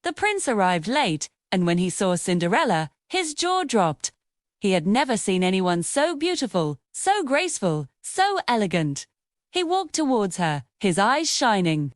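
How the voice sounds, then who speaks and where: Animated woman reading a fairy tale. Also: mid-range voice, a little slow, no echo, clean studio sound. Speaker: storyteller. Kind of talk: scripted fairy-tale narration for children.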